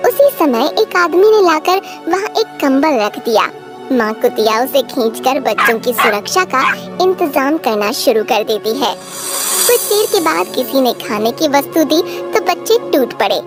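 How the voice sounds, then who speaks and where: A woman narrating a story in a dramatic, scripted storytelling style. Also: high voice.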